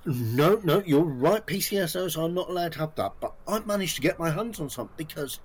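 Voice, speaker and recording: An actor as a husky, animated, self-important jobseeker. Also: male voice, on the high side, a little fast. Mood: brazen, excited. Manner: rambling, oversharing, chummy, boastful. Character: hapless, self-important ex-community police officer at job interview. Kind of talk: comic audio play acting.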